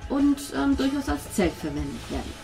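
A woman's voice: sits high, very animated, normal speed, mellow, soft background music. A woman narrating a tabletop role-playing game as game master, descriptive and informal.

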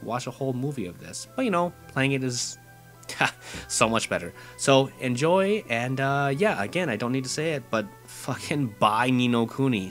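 A man's voice: medium pitch, fairly bright tone. A man giving rambling, hesitant, casual commentary as a video game reviewer. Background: music.

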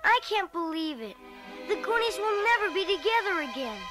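An actor voicing an earnest, determined young kid in a storybook read-along, speaking in an urgent, animated way. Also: extremely high pitch, moderate pace, loud music behind the voice. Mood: wistful, earnest.

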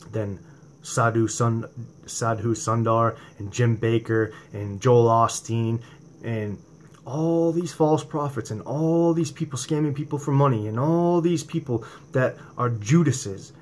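A man with a New England accent delivers an informal sermon into a webcam at home, his voice at an ordinary middle pitch. He presses hard on his points, then settles into quieter talk. Crickets chirp faintly outside the room. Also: a little hiss or hum. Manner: impassioned, rising to near-shouts on emphasis, then quieter and conversational. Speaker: religious video host. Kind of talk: informal preaching to camera. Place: home room indoors at night. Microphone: webcam or laptop camera from below.

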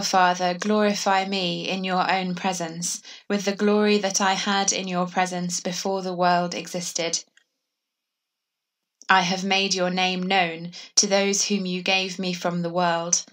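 A woman reading the gospel aloud in an even, measured way. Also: on the low side, far from the mic. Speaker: gospel reader.

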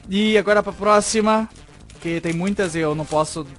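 A man's voice: high-pitched, moderate pace, faint music behind. A man in his twenties with a Brazilian Portuguese accent, casually reading letters aloud and commenting in an informal, stop-and-start way. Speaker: YouTube host reading fan mail. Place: small home room with green screen, indoors. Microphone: desk microphone with foam cover, close.

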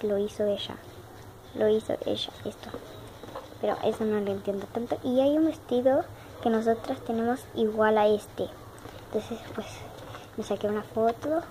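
A woman's voice: fairly high pitch, noisy background. A young girl from Argentina with an Argentine Spanish accent, chatting casually and repeating herself as she shows off her dollhouse, recorded on a handheld phone indoors. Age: child. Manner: chatty, repeats words, childlike diminutives. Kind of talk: casual show-and-tell narration. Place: home room with wooden floor, indoors. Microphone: phone camera held in hand.

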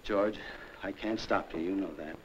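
An American actor, middle-aged, playing a stern, urgent government investigator with an earnest, clipped manner in an old film. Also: male voice, low-pitched, moderate pace, dull audio, lightly acted. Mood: grave, persuading. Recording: old film soundtrack with hiss.

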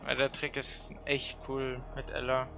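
A man's voice: medium pitch, monotone, measured pace, creaky, fairly bright tone, highs cut off, soft background music. A young man chatting casually while playing a video game, blunt and teasing in a slangy way. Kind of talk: casual gaming chat.

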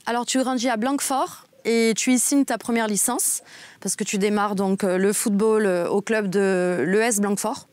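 A woman's voice: medium pitch, steady tone, moderate pace, a little mellow, no echo. A woman in her thirties, a studio interviewer asking questions from her notes in a friendly way. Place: dark television studio.

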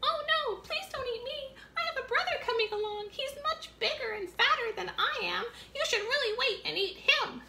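A woman voicing the smallest billy goat, pleading quickly in a small voice. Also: very high voice, expressive, gravelly, pushed and bright, echoey room, a little hiss or hum, voice pushed up, exaggerated voice.

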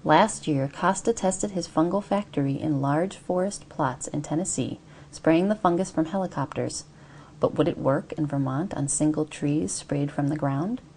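A woman narrates a short news feature. Her voice is deep for a woman and gravelly with vocal fry, and her delivery is even and measured. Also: a little hiss or hum. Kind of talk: scripted narration.

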